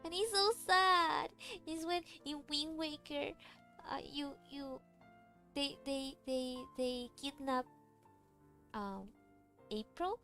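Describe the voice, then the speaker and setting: A woman's voice: sits high, slow, pauses often, sharp tone. A woman whose first language is Cantonese, chatting casually on a livestream while she draws, rambling and answering chat questions. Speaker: streamer drawing a webcomic. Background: faint music.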